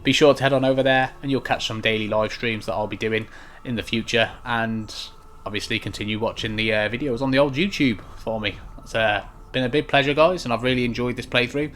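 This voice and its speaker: A man's voice: medium pitch, a little fast, a bit bright, a little hiss or hum. A man giving informal, chatty video game walkthrough commentary, talking straight to his viewers. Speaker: gaming YouTuber.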